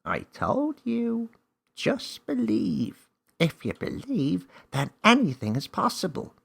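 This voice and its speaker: Man as small magical male companion, lifted pitch, husky, encouraging, coaxing, slightly impatient, storybook. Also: huge pitch swings, a bit bright, exaggerated voice. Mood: reassuring. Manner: brisk, coaxing, reassuring.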